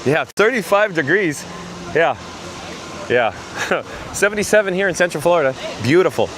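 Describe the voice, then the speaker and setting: Hoarse chatty man vlogging outdoors. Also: on the high side, animated, normal speed, a bit bright, slight background noise. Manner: chatty, reads chat messages aloud. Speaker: live-stream vlogger. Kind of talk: live-stream walking commentary. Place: outdoors at a theme park.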